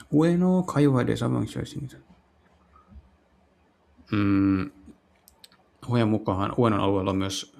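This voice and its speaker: Low, creaky man translating haltingly. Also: a little mellow. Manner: halting, searching for words while translating. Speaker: game streamer. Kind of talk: live visual novel playthrough, translating aloud into casual speech. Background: game music.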